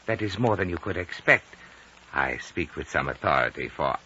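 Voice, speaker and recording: An actor playing a wise, courteous older man of a monastery in a vintage radio drama, speaking formally in measured, flowery phrases. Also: low-pitched, expressive, pushed and bright. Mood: gentle, reassuring. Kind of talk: 1950s radio drama acting. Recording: dull 1950 radio recording, faint noise.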